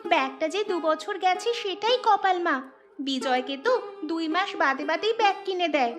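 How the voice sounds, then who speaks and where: A woman's voice: very high-pitched, lively intonation, moderate pace, faint music behind. A woman voicing a young village schoolgirl in a cartoon, cheeky and wheedling, with a whine as she answers back. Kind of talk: cartoon story dialogue.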